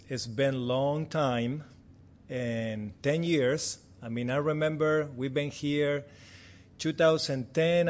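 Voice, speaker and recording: A man's voice: medium pitch, a little mellow. Argentine missionary man, friendly and chatty. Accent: Argentine Spanish. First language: Spanish. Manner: friendly, chatty, self-deprecating humor. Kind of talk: church missions report.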